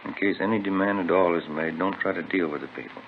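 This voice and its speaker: A man playing a steady, practical police detective in an old-time radio drama, polite and businesslike. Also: low voice, quick pace. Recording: tinny, noisy old radio recording.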